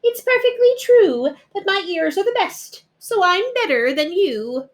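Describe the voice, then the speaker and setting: A woman's voice: extremely high pitch, big pitch swings, measured pace, pitched above the performer's own voice, exaggerated voice. A woman voicing a boastful, snappy male rabbit in a read-aloud storybook, bragging emphatically.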